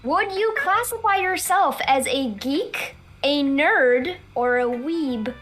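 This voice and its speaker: A woman's voice: high voice, expressive, slow pace, fairly bright tone, soft background music. A woman hosting a casual livestream, putting questions to her guests in an informal, chatty way. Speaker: livestream host asking questions. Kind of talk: casual question-and-answer chat.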